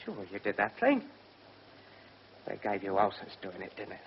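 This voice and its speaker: An actor playing a cocky, menacing gangster, taunting and slangy in a radio drama. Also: male voice, fairly low pitch, wildly swooping pitch, normal speed. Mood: mocking. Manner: taunting, slangy, mock-friendly, swaggering. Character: cocky, menacing British gangster, freshly acquitted. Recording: muffled, noisy old radio recording.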